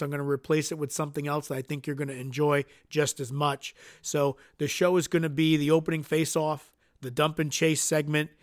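A man's voice: on the high side, no room sound, clean studio sound. A man hosting a solo podcast, earnest and dotted with uhs, sounding nasal from a cold. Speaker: podcast host.

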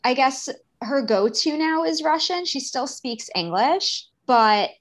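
A woman, speaking as a guest in a candid interview, earnest and animated, acting out bits of dialogue as she talks. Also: high voice, slow. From New York. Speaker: guest, daughter and caregiver.

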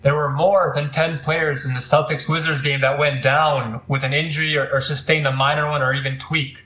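A man's voice: a little high, little pitch movement, fairly quick, pushed and bright, slight background noise. A young man co-hosting a basketball podcast, joking and poking fun at himself in casual sports chat. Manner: joking, self-mocking. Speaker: podcast co-host. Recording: echoey cheap mic.

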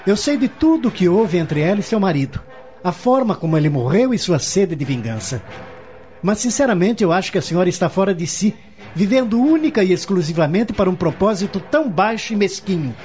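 Man playing a firm lawyer, animated high-pitched voice. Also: a little fast. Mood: firm, indignant. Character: firm, composed lawyer and protective, loving husband. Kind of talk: radio soap opera acting. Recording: slightly muffled studio recording.

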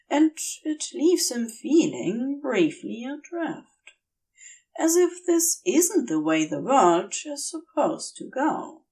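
A woman reading a story aloud as scripted narration, careful and measured, with expressive delivery. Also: fairly high pitch, far from the mic. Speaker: fan fiction audiobook reader.

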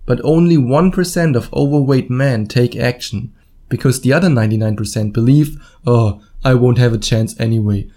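Male solo podcaster, German accent, soft mellow timbre, casual and blunt coaching monologue. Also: mid-range voice, moderate pace. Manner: informal, blunt, swears casually, acts out imagined quotes. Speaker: podcast host and dating coach.

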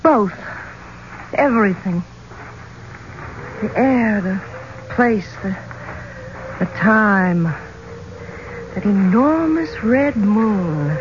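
Young woman, excitable, wary, very animated intonation, halting phrases, vintage radio play, faint hiss. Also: mid-range voice. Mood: wistful wonder. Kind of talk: 1970s radio drama acting. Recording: muffled, noisy old radio recording.

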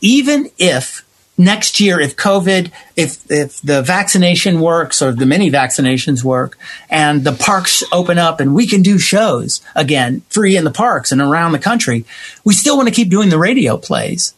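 A man's voice: fairly high pitch, expressive, moderate pace. A man being interviewed as a theater writer and director, talking conversationally and expressively, acting out imagined quotes as he tells stories.

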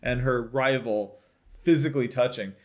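A man's voice: medium pitch, moderate pace, darkish tone. A man co-hosting a podcast, chatting casually and animatedly, laughing often and restarting his sentences. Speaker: podcast co-host. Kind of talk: casual chat recapping a reality show.

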